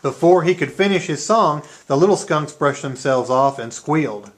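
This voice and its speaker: A storyteller with a medium-pitched voice, narrating a children's puppet-show tale in an animated storybook style. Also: normal speed.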